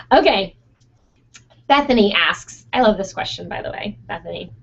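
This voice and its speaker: A young woman who runs a photo studio, answering listener questions in a chatty, casual way with frequent fillers and laughs, her voice going hoarse. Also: medium pitch, lively intonation, measured pace, fairly bright tone. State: losing her voice. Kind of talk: casual Q&A monologue. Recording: dull lo-fi sound.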